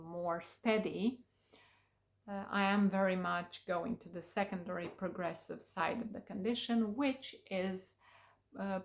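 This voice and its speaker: A woman with Romanian as her first language talks to her viewers in English. Her voice sits at an ordinary mid pitch. She takes her time and often pauses on fillers as she searches for words. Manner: unhurried, with many fillers and pauses. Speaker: vlogger. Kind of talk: casual talk to camera.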